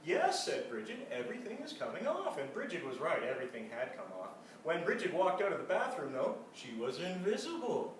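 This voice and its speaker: A man with a fairly high, very animated voice reads a children's storybook, dropping into casual asides. It is recorded at a distance in a strongly echoing room. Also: brisk, few pauses. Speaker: storybook reader. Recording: echoey room, distant mic, faint noise.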